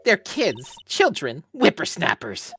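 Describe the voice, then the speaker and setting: A woman's voice: sits low, huge pitch swings, slow, fairly bright tone, soft background music, full character voice. A woman voicing a cranky, gossipy old female witness in an amateur video game read, scornful and huffy.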